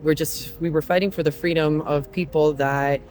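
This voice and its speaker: A slightly higher-pitched man from Croatia answers questions as a long-haul trucker. He talks in an earnest, halting way, stopping often to collect his thoughts. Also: steady tone, darkish tone. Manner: earnest, frequent um pauses. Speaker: truck driver interviewee. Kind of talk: casual interview answers.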